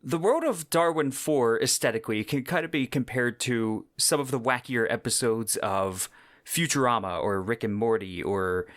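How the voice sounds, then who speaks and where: A man's voice: medium pitch, fairly bright tone, no echo, clean studio sound. A man hosting a podcast, explaining in a conversational, semi-scripted narration with emphatic descriptive wording. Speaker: podcast host.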